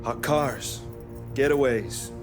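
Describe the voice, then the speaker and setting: An actor playing a friendly, shady garage mechanic in a video game cutscene, folksy and streetwise. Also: male voice, mid-range voice, slow, natural voice. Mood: wry, businesslike. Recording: music behind the voice.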